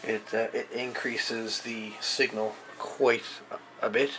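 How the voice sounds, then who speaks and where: A man giving a casual, unscripted product demonstration as an equipment reviewer, speaking haltingly as he searches for words. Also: fairly low pitch, flat delivery, noisy background. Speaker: amateur radio equipment reviewer.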